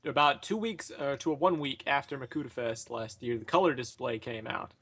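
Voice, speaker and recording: A man's voice: mid-range voice, a little fast, fairly bright tone, slightly rough. A young man co-hosting a livestream, teasing his friends in casual chat. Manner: joking, teasing. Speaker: channel co-host.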